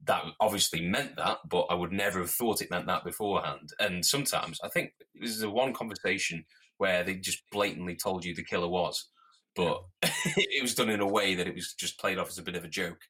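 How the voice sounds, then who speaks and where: A man with a very low voice and a bright, forceful edge. He talks casually and animatedly as a podcast co-host. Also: brisk, husky. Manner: animated, puts on silly voices when quoting people. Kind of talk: casual chat.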